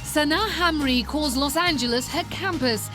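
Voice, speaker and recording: A woman's voice: sits high, normal speed, music in the background. A woman reporter reading scripted narration for a news profile.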